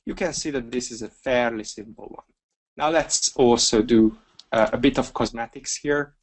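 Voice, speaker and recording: A Hungarian man walking through a software feature step by step in a webinar screencast, relaxed and talking through each click with a few fillers. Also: medium pitch, moderate pace. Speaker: software trainer.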